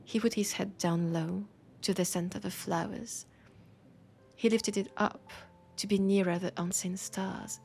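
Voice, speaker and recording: A woman with a French accent playing a romantic, teasing bookseller in a soft, breathy, intimate roleplay audio. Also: mid-range voice, steady tone, slight background noise. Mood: poetic, rapt. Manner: slow, breathy, whispery, tender and playful. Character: romantic, teasing female bookseller fond of a vampire customer. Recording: music behind the voice. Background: music.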